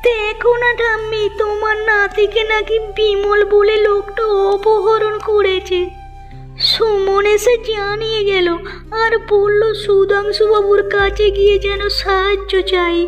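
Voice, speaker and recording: A woman's voice: very high-pitched, level tone, unhurried. A woman playing a distraught, pleading young village wife in a Bengali cartoon. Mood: tearful, pleading. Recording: dull lo-fi sound, music underneath.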